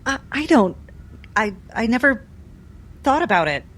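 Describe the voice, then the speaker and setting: A woman's voice: on the high side, sing-song, measured pace, a bit bright, heavily acted. A woman playing a guarded doctor in a 1960s-set drama, stammering and defensive under questioning. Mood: indignant, flustered. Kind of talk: 1960s cinematic drama acting.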